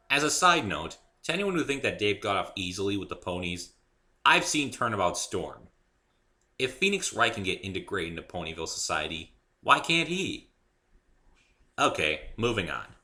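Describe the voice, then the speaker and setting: A man narrates a first-person story in a dramatic reading. His voice is fairly low and very bright, with animated swings in pitch. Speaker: amateur reader and author of the story.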